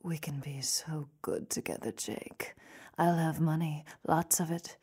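An actress playing a seductive, cold-blooded femme fatale killer in a noir audio drama, with a sultry and scornful manner. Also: female voice, deep voice, steady tone, a little slow, a little mellow, slightly rough, exaggerated voice.

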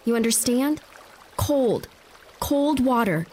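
A woman's voice: a little high, expressive, slow, a little mellow, a little hiss or hum. A woman playing a compassionate, determined young noblewoman with a composed, resolute manner, in an audio drama. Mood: concerned. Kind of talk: full-cast audio drama acting.

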